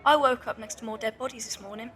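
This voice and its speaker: Poor Victorian street girl played by a woman, highish voice. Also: steady tone, fast, fairly bright tone, slight background noise, lightly acted. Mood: weary, wondering. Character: poor, plain-spoken homeless young Victorian beggar woman. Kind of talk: audio drama acting.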